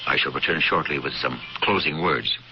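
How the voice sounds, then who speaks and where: Deep solemn male radio host. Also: heavy background noise. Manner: solemn, philosophical, measured. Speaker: host of a radio mystery series. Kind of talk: scripted 1970s radio narration. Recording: dull old radio recording over music.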